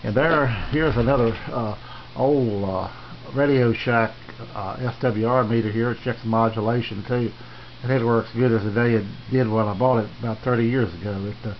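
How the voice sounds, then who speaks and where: Halting, plain-spoken man on lo-fi audio. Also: medium pitch, steady tone. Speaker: amateur radio hobbyist giving a tour. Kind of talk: casual unscripted equipment tour. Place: small home radio shack, indoors. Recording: dull lo-fi camera audio, faint noise.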